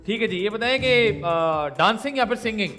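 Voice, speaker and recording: A man hosting a comedy talk show with a Pakistani Urdu accent, firing quick either-or questions and teasing his guest with laughs. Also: high-pitched, lively intonation, bright, forceful tone, faint music behind. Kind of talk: playful rapid-fire quiz questions.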